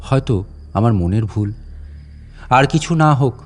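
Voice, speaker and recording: A man's voice: medium pitch, animated, a little slow, natural voice. A man playing an aging, deferential guide at a lonely fort, telling a dramatic story in a conversational, insinuating way. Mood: confiding, eerie. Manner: conversational, insinuating, theatrical with rhetorical questions. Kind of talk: dramatic first-person audio story narration in Bengali storytelling.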